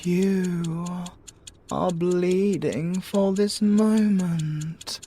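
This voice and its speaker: A man's voice: high-pitched, steady tone, dark tone, slight background noise, heavily acted. A British man playing a possessive male siren, violent yet tenderly obsessive, crooning in an ASMR audio roleplay. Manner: slow, crooning, swinging from menacing to tender.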